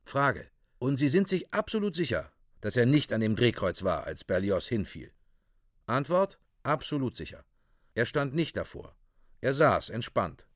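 A man's voice: mid-range voice, big pitch swings, measured pace, dry recording, studio quality. A man narrating a radio play from a script in a clipped, official, report-like manner.

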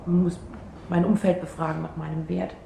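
A woman's voice: fairly low pitch, level tone, moderate pace, gravelly, mellow. An actress playing a rattled, self-doubting therapy client in her twenties, rambling hesitantly in a naturalistic radio drama. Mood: flustered, remorseful. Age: 20s. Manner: hesitant, rambling, filler-laden. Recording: roomy echo, faint background noise.

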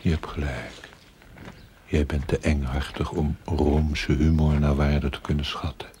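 A man playing a stern, sardonic angel in a television drama, with a very deep voice and a solemn, dry, needling manner. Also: flat delivery, a little slow, dark tone. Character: stern, sardonic male angel, a priest's conscience. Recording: faintly noisy 1970s television soundtrack.

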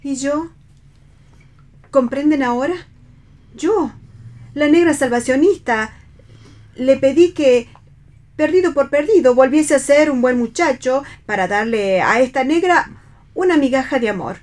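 An actress in a radio play voicing a humble, devout young salvationist in love, earnest and lyrical. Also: female voice, high voice, animated, a little slow, pauses often, a little mellow. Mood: pleading. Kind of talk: read radio theatre.

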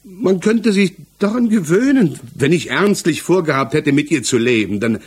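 A man's voice: fairly high pitch, expressive, darkish tone, slightly rough. An actor playing a defensive, hesitant married village tradesman under questioning in a 1960s German radio play, searching for his words. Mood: defensive, agitated. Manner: halting, searching for words, evasive.

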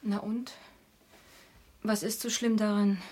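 An actress playing a naive, eager young art student in love in a radio drama, hesitantly searching for words. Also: female voice, medium pitch, little pitch movement, takes it slowly, a little mellow, breathy edge. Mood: defiant, confused.

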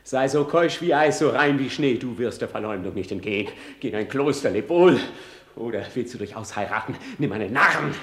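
An actor playing a bitter, erratic young prince in a classical radio tragedy, swinging theatrically between hushed and shrieking delivery. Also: male voice, mid-range voice, lively intonation, brisk. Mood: cutting, agitated. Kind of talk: classical stage tragedy in German radio drama. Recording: roomy, reverberant studio sound.

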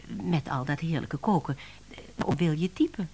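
A woman's voice: sits low, expressive, a little slow, mellow, a little hiss or hum. An actress playing a sympathetic, bemused woman employer, hesitant and placating, in a radio drama. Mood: baffled.

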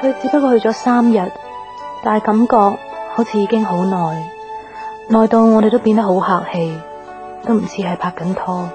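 A woman's voice: mid-range voice, normal speed, lightly acted. A woman acting a lonely, doubtful young girlfriend in a reflective radio drama monologue, with a Hong Kong accent. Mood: wistful. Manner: reflective, melancholy. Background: music.

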